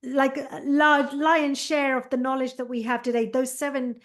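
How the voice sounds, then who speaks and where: Enthusiastic woman, slightly high voice. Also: level tone, normal speed. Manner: enthusiastic, many fillers and restarts. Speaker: author and meditation coach, podcast guest. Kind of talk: interview chat.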